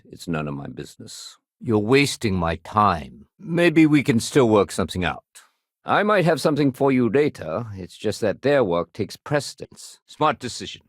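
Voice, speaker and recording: A man's voice: on the low side, no echo, studio quality. An actor playing a no-nonsense, dutiful male city security sergeant in a video game, with a clipped, official, wry delivery. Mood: stern, official.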